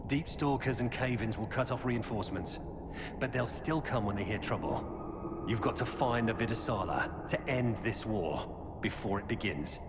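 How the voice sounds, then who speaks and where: An actor playing a weary, earnest former knight turned defector, giving grave, urgent warnings in a video game. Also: male voice, fairly low pitch, expressive, normal speed, natural voice. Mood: grim, resolute. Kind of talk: dramatic video game dialogue. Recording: cavernous reverb with loud background noise.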